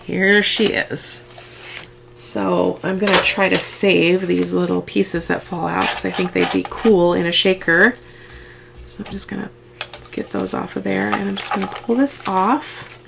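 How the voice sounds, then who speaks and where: Middle-aged woman, head cold, croaky and fry-heavy, chatty step-by-step crafter with faint background hiss. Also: medium pitch, steady tone, unhurried. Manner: chatty step-by-step instructions with asides. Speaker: craft vlogger. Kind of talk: casual crafting tutorial.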